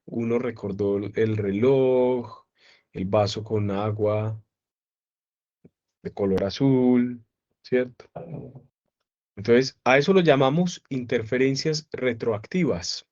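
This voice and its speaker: A man teaching a psychology class online, explaining at an unhurried pace and often checking that his students follow. His voice sits in a middle pitch. The sound is dull and lo-fi, as if picked up by a computer microphone on a video call. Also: a little mellow. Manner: explains slowly with repeated questions to students.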